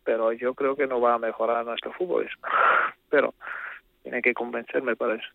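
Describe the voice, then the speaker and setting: A man's voice: mid-range voice, little pitch movement, moderate pace, vocal fry, dry recording. Italian man on a crackly phone line. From Italy. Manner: hesitant, searching for words. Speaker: guest. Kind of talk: phone interview.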